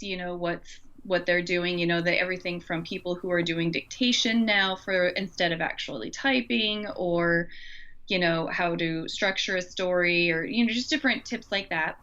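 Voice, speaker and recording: A woman's voice: lowish voice, normal speed, sharp tone, lo-fi sound. A woman chatting informally and enthusiastically, recommending things in casual conversation.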